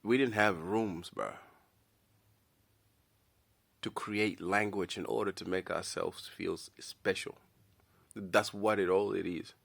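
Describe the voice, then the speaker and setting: A man's voice: low voice, fairly even intonation, unhurried, vocal fry, fairly bright tone. A man whose first language is Dinka, giving a blunt, slangy opinion rant straight to camera, stumbling and repeating words as he thinks. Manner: blunt, slangy, keeps calling the listener "bro". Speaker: vlogger.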